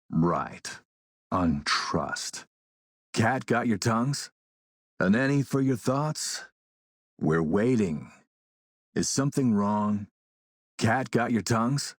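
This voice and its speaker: A menacing military warlord from an action game, a man who speaks slowly and with calculated polish. His commanding voice sits on the low side and is recorded close and dry. He is suave and threatening. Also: dry recording. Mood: polite, probing. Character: smooth, menacing military warlord and arms dealer. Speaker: villain character in an action role-playing game. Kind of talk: acted video game dialogue.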